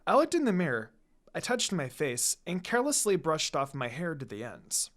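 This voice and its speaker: An expressive reader and channel host narrating anime fan fiction in a casual, storytelling style. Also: medium pitch, normal speed. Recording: background music.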